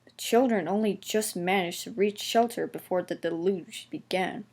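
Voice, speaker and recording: A woman's voice: lowish voice, normal speed, darkish tone. A woman reading a scripted children's story aloud as its narrator.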